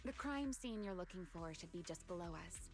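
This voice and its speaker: A woman playing a composed, enigmatic fighter and mentor in an anime-style game, unhurried, playful and slightly formal. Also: on the low side, animated, soft background music, lightly acted. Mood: teasing.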